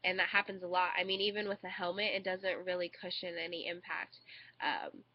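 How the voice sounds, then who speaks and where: A young woman, stuffed up with a cold, talks her way through a class speech, stumbling over fillers and restarts. Her voice sits a little low. The webcam audio sounds dull and lo-fi. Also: steady tone, a little fast. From United States. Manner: hesitant, many fillers and restarts. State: congested from a cold. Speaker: student giving a class speech. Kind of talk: informal recorded speech. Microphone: webcam microphone.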